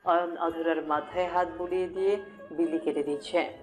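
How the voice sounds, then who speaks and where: A woman with a low-pitched voice narrates a scripted love story. Soft music plays faintly behind her as she reads. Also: fairly even intonation, normal speed.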